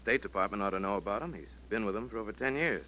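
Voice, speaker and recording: An actor playing George, a calm, cautious man who reasons carefully, in a 1940s radio drama. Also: fairly low pitch. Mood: measured. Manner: measured and reasoning. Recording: dull vintage radio recording.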